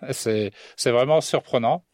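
Man from southern France, middle-aged, poet guest, hesitant, mid pitch, dry studio sound. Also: slow, no echo. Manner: hesitant, many fillers and restarts, soft laughs. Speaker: poet and French teacher. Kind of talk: radio interview. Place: radio studio. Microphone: studio mic.

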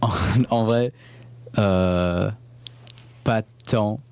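A man's voice: fairly low pitch, extremely slow, darkish tone, clean tone. A young man from Belgium, born in northern England, chatting casually as an interview guest in informal, slangy speech mixed with English words. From born in northern England, grew up in Belgium. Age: young adult.

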